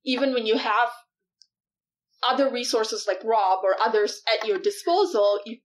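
A woman in her thirties, originally from Malaysia, speaking as a startup CEO in an in-person interview. She talks thoughtfully, filling pauses with ums and breaking into easy laughter. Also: a little high. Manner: thoughtful, with many fillers and laughs.

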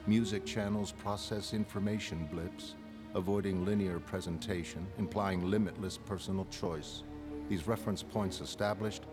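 Guy doing a deep calm genius villain for an animated comic, erudite and aloof, music loud underneath. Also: lightly acted. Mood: detached, musing. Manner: measured, erudite, detached. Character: cold, cerebral, self-assured genius villain, a man. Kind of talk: animated comic dramatic narration.

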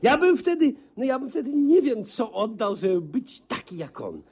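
An actor in a 1950s Polish radio comedy playing a naive husband in awe of an old schoolmate, gushing, stammering and repeating himself. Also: male voice, very high-pitched, very animated, normal speed, a little mellow, breathy edge, heavily acted. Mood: gushing admiration. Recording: slightly muffled 1950s radio play recording.

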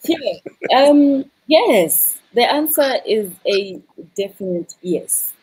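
A woman's voice: on the high side, animated, takes it slowly, darkish tone, husky, rough recording. A South African woman in her thirties, a tourism business owner on a video call, talking animatedly with many repeated words and fillers. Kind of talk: casual video-call interview. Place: indoor room.

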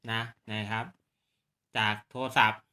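A man's voice: fairly low pitch, flat delivery, takes it slowly, sharp tone. A man giving a casual hands-on product demonstration to viewers, explaining politely and often checking that they follow. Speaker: presenter of radio gear demo on his own video channel.

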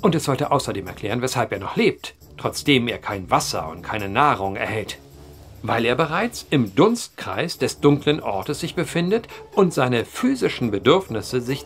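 An actor playing an earnest, determined gentleman writer in a German audio drama, speaking with precise, old-fashioned eloquence. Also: medium pitch, big pitch swings, moderate pace, soft background music.

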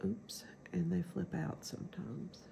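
A woman's voice: lowish voice, animated, a little slow, vocal fry, warm tone, whispered. A middle-aged woman with a stuffy cold, chatting casually through a craft demo at home and rambling with lots of ums. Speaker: crafting channel host.

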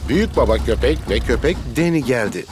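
A man's voice: medium pitch, expressive, fairly quick, loud music behind the voice, close to an everyday voice. A man playing a friendly narrator of a preschool cartoon, reading scripted storytelling lines.

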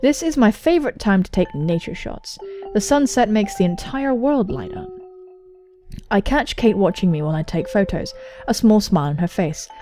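A young woman hosting a let's play, reading a visual novel aloud and commenting on it with excitable, sweary exclamations. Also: mid-range voice, normal speed. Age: young adult. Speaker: gaming video host. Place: indoor room at home, on webcam. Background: game soundtrack music.

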